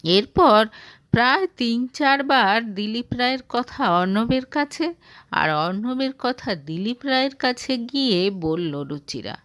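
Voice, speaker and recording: Slow, animated woman storyteller. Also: a little high, no room sound. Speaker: audio story narrator. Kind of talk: dramatized romantic story reading.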